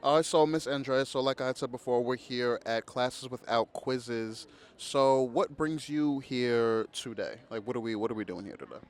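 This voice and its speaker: A man's voice: medium pitch, moderate pace, clear, resonant tone. A man interviewing a guest, asking casual questions in a friendly, affirming way with many okays.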